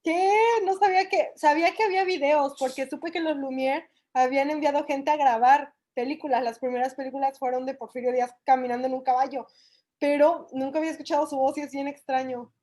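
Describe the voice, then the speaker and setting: A woman chatting casually in a webinar, expressive and colloquial. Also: high voice, brisk.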